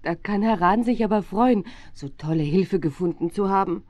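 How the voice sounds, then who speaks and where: An actress playing an indulgent, gently teasing middle-aged mother in a children's radio play. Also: medium pitch, normal speed, no echo, close to an everyday voice.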